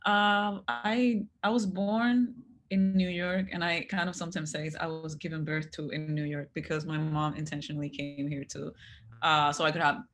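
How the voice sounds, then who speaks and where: A woman in her thirties from the Dominican Republic, speaking on an artists' panel in a thoughtful, hesitant way with many pauses and fillers. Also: sits low, flat delivery. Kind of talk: casual panel talk.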